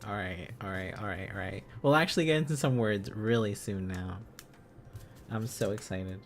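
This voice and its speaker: A man's voice: lowish voice, normal speed, a little hiss or hum. A man hosting a casual livestream, thinking aloud as he studies, in a rambling, silly, exclaiming manner. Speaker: livestream host.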